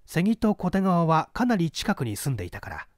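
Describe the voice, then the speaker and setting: A man narrates a story in a fairly high voice. His intonation moves up and down a great deal, while the phrasing stays measured and deliberate. The sound is dry and close, as in a literary audiobook. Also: huge pitch swings, no echo. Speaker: audiobook reader, narrator of classic mystery fiction.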